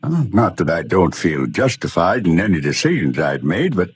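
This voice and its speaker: Wanted: shifty small-town judge, hesitant and ingratiating, low voice that swoops widely, radio western. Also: male voice, big pitch swings, normal speed, slightly rough, heavily acted. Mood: anxious, cornered. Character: nervous, evasive corrupt frontier judge, eager to placate. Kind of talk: 1950s radio western acting.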